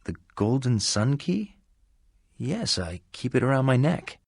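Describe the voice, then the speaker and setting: Fantasy game villager, male: everyman, anxious, grateful, husky, dry sound. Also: mid-range voice, big pitch swings, a little slow, dry recording, heavily acted. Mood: grateful, uneasy. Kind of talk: fantasy video game character acting.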